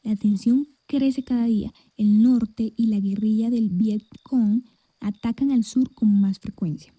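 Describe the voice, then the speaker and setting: A woman playing a grave news reporter, with husky, breathy, formal scripted narration. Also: a little high, fairly even intonation, unhurried, mellow, slight background noise, close to an everyday voice.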